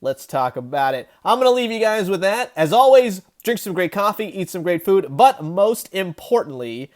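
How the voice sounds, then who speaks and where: A man's voice: high-pitched, big pitch swings, moderate pace. A man in his thirties giving a casual, enthusiastic toy review, chatty and emphatic as the host.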